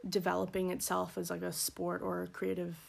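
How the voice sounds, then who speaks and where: Young woman, Belfast accent, gravelly edge, rambling casual guest. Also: on the low side, monotone, fairly quick. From Northern Ireland. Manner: rambling, many fillers and laughs. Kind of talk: casual conversational interview.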